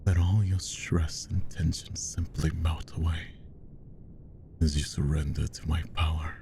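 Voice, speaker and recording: A man playing a possessive, menacingly tender magician hypnotist in roleplay ASMR, speaking softly and coaxingly, often slipping into whispers. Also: steady tone, measured pace, noisy background, heavily acted. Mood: soothing, commanding. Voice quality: soft, very deep, gravelly. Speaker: voice actor.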